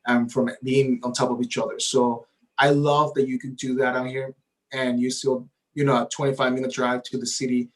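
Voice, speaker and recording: Man, native Spanish speaker, realtor, eager, talkative, middle pitch, tags sentences with "right?". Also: flat delivery, normal speed, low-quality recording. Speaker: realtor guest. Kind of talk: casual interview talk.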